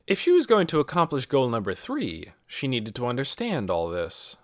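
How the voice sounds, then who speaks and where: A man's voice: medium pitch, wildly swooping pitch, normal speed, dry recording, studio quality. A man reading a scripted novel aloud as an audiobook narrator. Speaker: audiobook reader.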